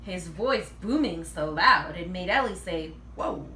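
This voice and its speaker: Animated woman reading a storybook, roomy recording. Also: fairly low pitch, measured pace. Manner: animated, acts out dialogue. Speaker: read-aloud reader. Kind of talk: expressive children's picture book read-aloud. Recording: roomy, distant mic, faint noise.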